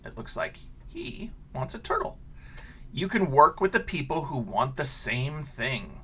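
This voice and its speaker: Slow-paced man reading to children, swooping pitch. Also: slightly rough. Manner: expressive, simple questioning delivery aimed at young children. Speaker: teacher reading a picture book aloud. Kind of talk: children's picture book read-aloud with casual asides.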